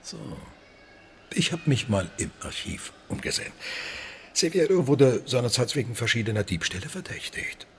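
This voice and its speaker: An actor playing a suspicious police commissioner, questioning in a dry, measured way in a radio drama. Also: male voice, medium pitch, sing-song, slight background noise.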